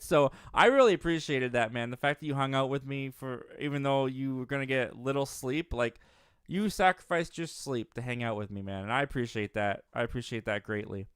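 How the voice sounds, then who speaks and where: A man's voice: mid-range voice, a bit bright, smooth, dry recording, clean studio sound. A young man hosting a live movie stream, reading viewer comments aloud in an upbeat, rambling way with many restarts. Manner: upbeat, rambling, frequent restarts and self-corrections.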